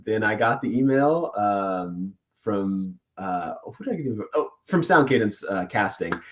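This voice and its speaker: A man who works as a voice actor, telling stories in a casual interview with animated, excitable energy and frequent laughter. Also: lowish voice, normal speed. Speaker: voice actor guest.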